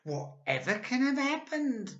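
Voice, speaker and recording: A woman voicing a frightened old wife who wails her lines in a very high voice. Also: big pitch swings, a little slow, a bit bright, exaggerated voice. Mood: fretful. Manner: high wailing cries. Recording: echoey room, dull lo-fi.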